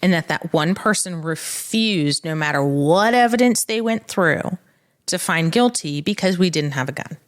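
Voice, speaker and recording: A woman's voice: on the low side, lively intonation, normal speed. A woman hosting a legal podcast as a trial attorney, telling stories in a casual, conversational way and repeating phrases for emphasis.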